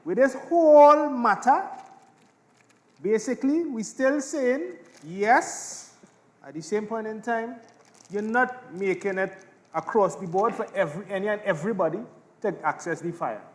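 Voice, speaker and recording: An extremely high-pitched man with a Trinidadian accent played by a stage actor. He is measured and persistent, explaining his points deliberately and circling back to them as in a live debate scene. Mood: measured, insistent. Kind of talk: community stage play acting.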